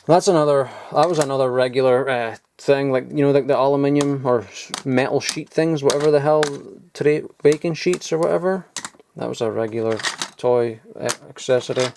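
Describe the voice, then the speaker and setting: A man's voice: medium pitch, a little fast, background noise. Rambling man sorting old toys. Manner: rambling, mumbling asides, swears casually, gets excited at finds. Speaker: toy collector vlogger. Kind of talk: casual off-the-cuff commentary while sorting toys. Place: cluttered loft.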